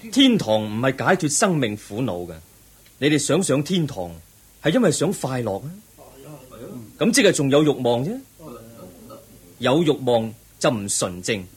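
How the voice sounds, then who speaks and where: A man acting in a Cantonese radio drama as a young prince seeking truth, earnest and measured, with a Hong Kong accent. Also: mid-range voice. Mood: solemn, resolute. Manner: measured, earnest, declamatory, preaching.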